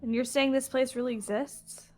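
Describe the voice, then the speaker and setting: A woman's voice: high voice, steady tone, normal speed, darkish tone, close to an everyday voice. A woman playing a hesitant, casual female dragon slayer with a shady past in a fantasy game roleplay. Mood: uneasy. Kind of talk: improvised Minecraft fantasy roleplay.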